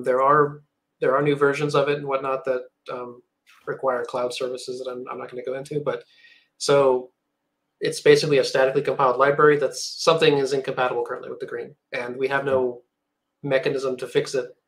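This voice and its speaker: Flat-voiced man explaining tech. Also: medium pitch, fairly quick. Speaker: developer co-presenter. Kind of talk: technical explanation.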